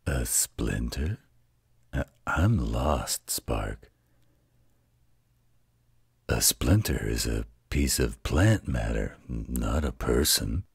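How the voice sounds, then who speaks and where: A man playing a gruff, protective demon father in a fantasy audio roleplay, with a gravelly voice and a reassuring drawl. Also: animated, drawn-out words, long pauses, dry recording, clean studio sound, full character voice. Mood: puzzled, wry. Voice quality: very deep, gravelly husky voice.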